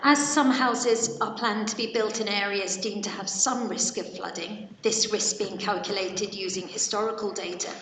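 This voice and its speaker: A woman councillor with a croaky voice, carefully reading out a question at a council meeting. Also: medium pitch, a bit bright, far from the mic, slight background noise. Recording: echoey hall from off mic.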